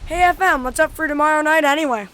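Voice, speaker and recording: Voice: sits high, fast, bright, forceful tone. A youngster playing a jokey schoolboy sidekick in an amateur radio drama. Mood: amused. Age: child. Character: joking schoolboy sidekick of a prankster.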